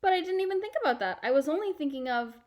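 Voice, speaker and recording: Woman voicing an earnest, high-pitched young storybook girl. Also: expressive, racing, darkish tone. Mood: modest. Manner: eager, questioning, earnest. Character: earnest, curious, anxious young girl of about ten.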